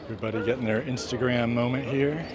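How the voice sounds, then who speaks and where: A man's voice: medium pitch, fairly even intonation, fast talker, a crowd in the background. A man giving casual, musing walking-tour commentary off the cuff while outdoors on town streets. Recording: loud outdoor street noise. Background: street ambience, people, vehicles.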